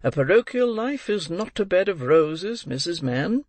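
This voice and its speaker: A man voicing a pompous, self-important parish beadle who delivers stern, drawn-out pronouncements in a storybook reading. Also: sits high, big pitch swings, a little mellow, no echo, voice pushed up, full character voice. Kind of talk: audiobook character reading.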